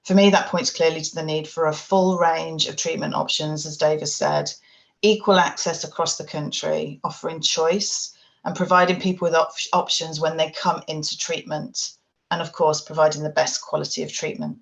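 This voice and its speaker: A woman delivers a webinar presentation in a low, even voice that barely rises or falls. Her tone has a gravelly, fry-edged texture, and she speaks in a steady, measured way, as if working through prepared remarks. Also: low-quality recording. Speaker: charity chief executive presenting.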